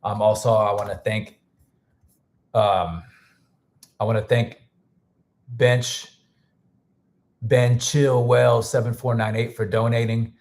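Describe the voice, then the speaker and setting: A man hosting a casual music livestream, rambling loosely with many restarts and thanking viewers, a little hoarse as he starts losing his voice. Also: on the low side, flat delivery, slow pace, long pauses, darkish tone. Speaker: music producer and livestream host.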